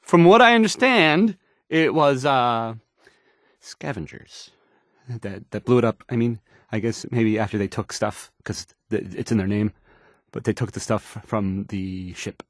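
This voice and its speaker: Nervous, stammering radio DJ. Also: male voice, mid-range voice, animated, fairly bright tone, no room sound, clean studio sound. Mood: uneasy, hesitant. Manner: halting, stammering, hesitant, unsure. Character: nervous, bumbling, insecure male small-time radio DJ. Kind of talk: in-character radio news broadcast, video game voice acting.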